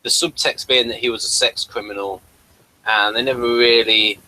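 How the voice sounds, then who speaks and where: A man hosting a casual review chat, explaining things in a halting way and often restarting mid-thought. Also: medium pitch, fairly even intonation, bright, forceful tone, poor audio. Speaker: podcast host.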